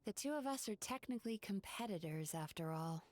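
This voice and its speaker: A woman voicing a calm, composed spirit therapist. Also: medium pitch, slight background noise, close to an everyday voice. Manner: calm, measured, sighing, reserved. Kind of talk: game voice acting.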